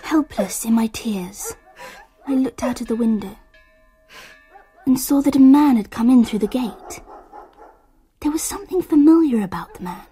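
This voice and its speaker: An actress playing a sensitive, wistful English girl who narrates a radio drama in a hushed, breathy, literary way. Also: fairly high pitch, animated, normal speed, long pauses, soft background music, natural voice. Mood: hushed, relieved.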